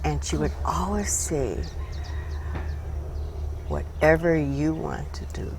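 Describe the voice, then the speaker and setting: An older woman from Hawaii, a retired social worker recalling her life in a relaxed interview, speaking haltingly with many pauses and fillers. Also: deep voice, a little hiss or hum. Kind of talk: casual reminiscing conversation.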